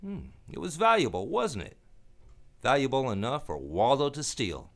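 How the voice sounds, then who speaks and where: A man's voice: mid-range voice, wildly swooping pitch, normal speed, a bit bright. A man playing a wry, tough private detective in a 1940s-style hardboiled radio drama. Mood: sardonic, probing. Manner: sardonic, tough, wisecracking. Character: wry, hard-boiled male private detective.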